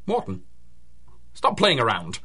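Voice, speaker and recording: A man's voice: mid-range voice, huge pitch swings, unhurried, pushed and bright, breathy edge, full character voice. A man playing a haughty, impatient scientist with a pompous, imperious manner, in the style of 1930s radio drama. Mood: irritated, uneasy. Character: haughty, impatient male scientist bullying a butler.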